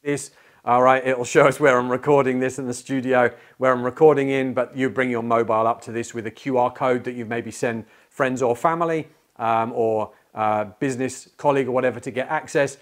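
A man in his forties presents a product to camera in an informal, explanatory style. His voice sits at a middle pitch, and he pauses hesitantly as he works through each point. He is recorded on a lapel mic in a small indoor studio. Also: steady tone.

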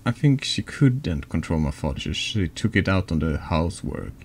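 Man, extremely low voice with heavy fry, halting horror ASMR narration of a text game, some background noise. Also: sing-song, darkish tone. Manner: halting, stumbles over words. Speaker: streamer reading a text adventure game aloud. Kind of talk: slow ASMR horror story reading.

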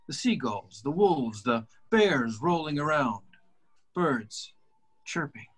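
A man reading the part of a sympathetic, slightly pushy therapist in a sitcom table read, with a husky voice. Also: a little high, big pitch swings, takes it slowly, exaggerated voice. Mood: soothing, instructing. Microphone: video call.

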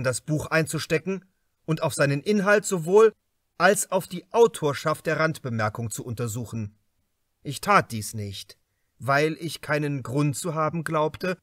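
A man reading a crime novel as an audiobook narrator, in a measured, expressive and carefully articulated way. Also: fairly high pitch, dry recording, clean studio sound.

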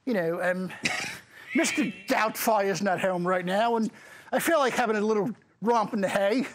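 A man's voice: high voice, normal speed, slight background noise, close to an everyday voice. Man doing a bawdy old English nanny. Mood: flirty. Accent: put-on English. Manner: rambling, flirty, keeps saying Arthur. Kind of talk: improvised comedy bit.

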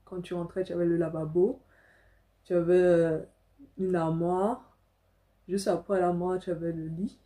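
A woman's voice: lowish voice, little pitch movement, measured pace, pauses often, dark tone. A young adult woman from Africa telling a personal story casually to camera as a vlogger, indoors in a small room.

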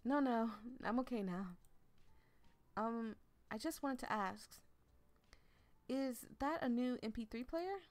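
A woman's voice: a little high, expressive, slow pace, long pauses, no room sound, voice pushed up. A woman voicing a shy, flustered young woman in a visual novel game, sweet and hesitant.

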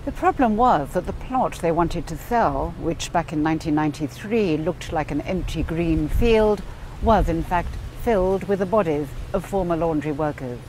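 A woman reporter giving steady, measured documentary narration. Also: on the low side, slight background noise, low-quality recording.

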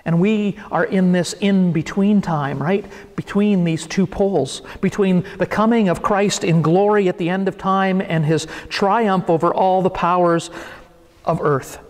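A middle-aged male pastor delivers a sermon from the pulpit, earnest and measured, building his points with steady repetition. His voice sits fairly high for a man. The sound rings with the long reverb of a church sanctuary.

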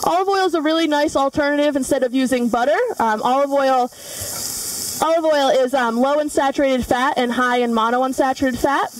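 A woman's voice: high voice, fairly quick. A young woman giving a cooking demonstration in a large studio kitchen, explaining each step with frequent fillers. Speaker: culinary student demonstrator. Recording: voice from far off the mic.

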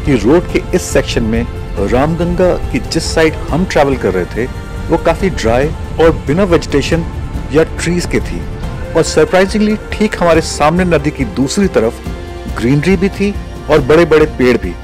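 Man, from India, travel vlog voice-over, reflective, lively intonation, music bed. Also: a little high, moderate pace. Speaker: travel vlogger narrating. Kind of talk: voice-over narration. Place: voice-over, not recorded on location. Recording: music under the voice.

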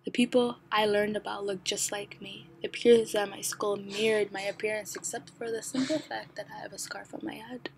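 A young woman from Somalia raised in the United States, with an American accent, reading her own story in a reflective, even voice with ironic asides, soft and partly whispered. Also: mid-range voice, moderate pace, creaky, few pauses, background noise. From born in Somalia, raised in the United States. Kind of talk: read personal narration.

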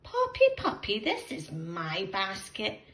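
A woman's voice: mid-range voice, huge pitch swings, slow pace, a bit bright, roomy sound, voice pushed down, heavily acted. A woman voicing surprised storybook animals who scold intruders in their beds, with exaggerated sing-song questioning. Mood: gently scolding.

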